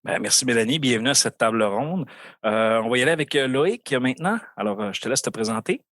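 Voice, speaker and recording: A man's voice: mid-range voice, expressive, fast talker, fairly bright tone, dry recording, clean studio sound. A man with a Québécois accent hosting a casual round-table podcast, joking and hesitant with many fillers. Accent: Québécois French. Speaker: podcast host.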